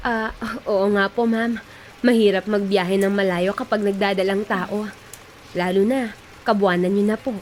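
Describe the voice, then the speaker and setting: A woman's voice: medium pitch, steady tone, moderate pace, darkish tone, a little hiss or hum, lightly acted. A woman playing a humble, troubled Filipina working mother who acts and narrates in a radio drama. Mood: respectful, hesitant. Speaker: drama lead and first-person narrator.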